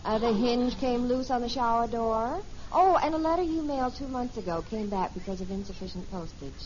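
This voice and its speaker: A woman's voice: a little high, animated, fairly quick, close to an everyday voice. An actress playing an affectionate, chatty middle-aged wife and mother in a 1950s radio family comedy. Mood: cheerful. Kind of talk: 1950s radio sitcom acting. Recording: muffled, noisy vintage radio recording.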